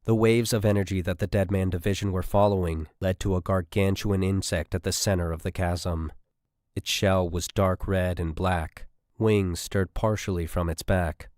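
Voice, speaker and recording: Low guy reading a sci-fi war audiobook, scripted narration, super dry recording. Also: fairly even intonation, normal speed, darkish tone, no room sound.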